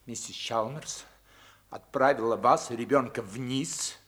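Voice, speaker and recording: A man's voice: medium pitch, measured pace, fairly bright tone, breathy edge. A man acting in a radio play as a domineering, wealthy older father who questions his household in a commanding, clipped way. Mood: stern, interrogating.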